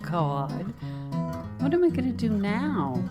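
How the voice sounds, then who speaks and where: A woman's voice: medium pitch, big pitch swings, takes it slowly. An actress in a comedic radio drama playing a sardonic, put-upon single New York woman, a struggling artist given to wisecracks. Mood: anxious, wry. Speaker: actor in audio drama. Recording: loud music under the dialogue.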